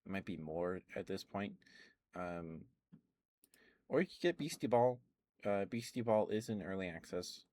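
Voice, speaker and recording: A man with a very deep voice rambles softly into a close mic during a live ASMR stream. He meanders between topics, filling pauses with ums and small laughs. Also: animated. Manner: meandering, chatty, lots of ums and little laughs. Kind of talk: soft rambling ASMR chat with live viewers.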